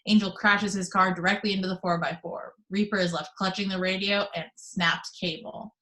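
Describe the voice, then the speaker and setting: A woman reading the action descriptions aloud in steady, measured narration for an amateur table read over a video call. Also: mid-range voice, poor audio. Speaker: reader of stage directions.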